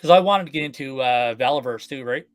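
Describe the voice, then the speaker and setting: A man from Canada chatting casually on a live stream, relaxed and full of fillers. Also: medium pitch, fast, no room sound, faint music behind. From Canada, Newfoundland. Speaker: guest collector.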